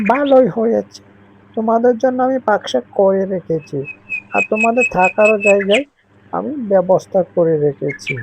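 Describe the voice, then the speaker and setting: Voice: mid-range voice, creaky. A voice actor playing a kind, trusting female songbird who talks in a reassuring, welcoming way. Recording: noisy recording.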